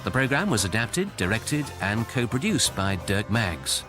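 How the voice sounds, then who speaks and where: A man with a British accent announcing scripted radio credits in a formal, deadpan manner. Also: on the low side, a little slow, music in the background.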